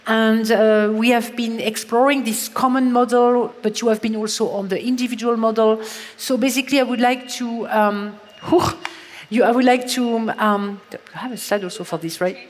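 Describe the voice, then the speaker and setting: A woman's voice: a little high, fairly even intonation, moderate pace. A woman moderating a conference session, speaking hesitantly and searching for words. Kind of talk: conference presentation. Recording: reverberant hall.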